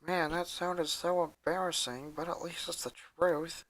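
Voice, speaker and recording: A man's voice: a little high, level tone, unhurried, a bit bright, poor audio. A man voicing a tough but caring tomboy girl in a story reading, earnest and easily embarrassed.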